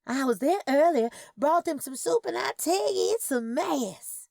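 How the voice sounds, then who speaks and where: Woman voicing an anxious Southern aunt, pitched high. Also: lively intonation, a little slow, vocal fry, darkish tone, dry recording, clean studio sound, full character voice. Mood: desperate, pleading. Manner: anxious, pleading.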